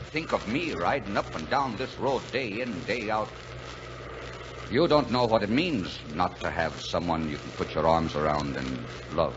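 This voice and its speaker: An actor playing a kindly, gruff, lonely truck driver in folksy 1940s radio drama style. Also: male voice, deep voice, animated, a little slow. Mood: wistful. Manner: folksy, plainspoken. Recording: muffled, noisy 1940s radio recording.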